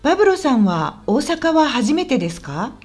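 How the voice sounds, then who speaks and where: A woman acts out a friendly, helpful local guide in a radio drama for language learners. Her voice is high and very animated, with polite, clearly spoken explanations. Faint music plays underneath. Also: normal speed. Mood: welcoming. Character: friendly, helpful woman guiding a visitor around Osaka.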